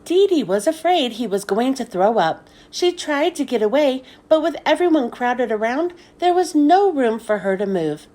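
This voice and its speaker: A woman reading a children's story aloud as scripted narration, with expressive, deliberate delivery. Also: sits high. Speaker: children's book read-aloud host.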